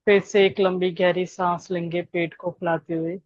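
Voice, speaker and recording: Woman doing guided meditation, lowish voice, gentle with long pauses, echoey dull recording. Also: little pitch movement.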